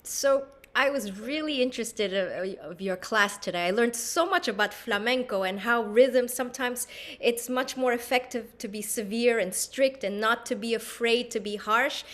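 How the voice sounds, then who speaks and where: A woman's voice: on the high side, normal speed, fairly bright tone. A woman pianist hosting a video-call interview, asking long, rambling questions with some fillers. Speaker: host and pianist. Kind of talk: interview host.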